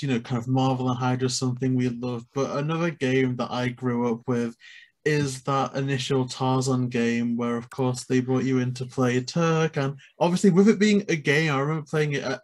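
A young adult man hosts an interview over a video call. His pitch sits in the middle, and he builds long, thoughtful questions that ramble a little. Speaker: podcast host.

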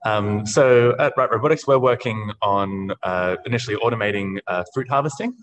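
An Australian man pitching his startup on a video call, halting with many fillers and restarts. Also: fairly bright tone, a little hiss or hum. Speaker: startup founder.

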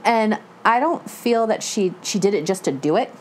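A woman in her thirties chatting casually as a book club panelist, trailing from one halting phrase to the next. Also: medium pitch, expressive, a little hiss or hum. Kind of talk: casual chat. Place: living room, indoors.

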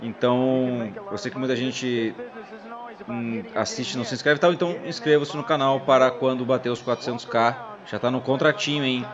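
A man's voice: medium pitch, moderate pace. Chatty man commentating over a boxing match. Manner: chatty, jokes with his co-host. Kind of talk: casual commentary over fight footage. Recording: dull sound with loud crowd behind. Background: crowd noise from fight footage.

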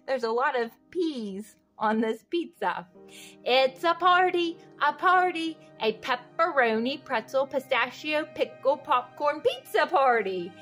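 Slow, high, animated woman storyteller. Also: faint music behind. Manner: sing-song refrains, questions put to young listeners. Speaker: read-aloud host. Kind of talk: expressive children's picture-book read-aloud with asides.

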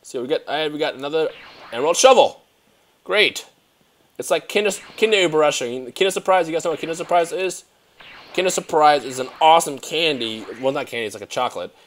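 A young man who grew up in Germany gives loose, excitable commentary over his own gameplay. He rambles and talks to himself as he plays. He speaks into a headset mic in a room at home. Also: fairly high pitch, quick pace. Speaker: gaming youtuber. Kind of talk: casual let's play commentary.

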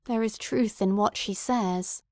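A woman's voice: mid-range voice, measured pace, darkish tone, dry recording, clean studio sound, natural voice. A woman reading the part of a nervous young male clergyman who speaks earnestly and solemnly.

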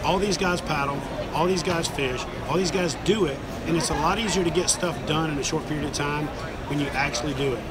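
A man's voice: on the high side, fairly quick, over loud music. A man, hosting a casual, joking walkthrough at a busy indoor expo hall, filmed on a handheld camera. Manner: folksy, joking, energetic. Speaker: host. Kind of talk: casual trade-show walkthrough. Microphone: handheld camera at arm's length.

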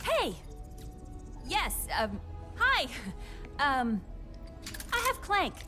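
Young alien rebel heroine, wry, very high pitch, lively swings, video game, music behind. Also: female voice, extremely slow, heavily acted. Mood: nervous. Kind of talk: video game cutscene acting.

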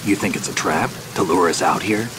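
A man playing a hardened covert operative in a video game, terse and gruff. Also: a little fast, close to an everyday voice. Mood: wary, businesslike. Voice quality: low, gruff. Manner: terse, matter-of-fact. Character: hardened, cool-headed male covert operative. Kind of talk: video game cutscene acting. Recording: loud rain and ambient noise.

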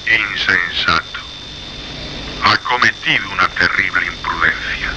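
An actor with a Castilian Spanish accent playing a menacing, indignant anonymous caller in a radio suspense drama, speaking in an imperious, emphatic, repetitive way. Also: male voice, deep voice, unhurried, noisy background. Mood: stern reproach. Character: menacing anonymous male phone caller, indignant guardian of secrets.

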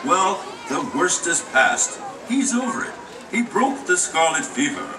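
A man playing a brisk, authoritative doctor in a children's puppet show, giving emphatic delivery. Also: high-pitched, very animated. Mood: brisk, reassuring. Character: brisk, commanding male doctor. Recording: echoey, noisy puppet theater, distant.

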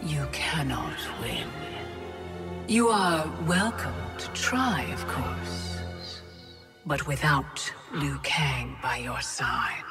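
Slow, haughty goddess villain. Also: female voice, low voice, over loud music, heavily acted. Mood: cold menace. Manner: measured, condescending. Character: haughty ancient goddess of time, coldly menacing. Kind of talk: cinematic video game villain acting.

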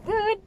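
A woman's voice: darkish tone, slight background noise. A young woman from the Philippines with a Filipino accent, a Bisaya native speaker, chatting casually in a travel vlog with hesitant English, talking into a handheld selfie camera in a van and on a beach. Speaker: vlogger. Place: inside a van, then outdoors on a sandy beach.

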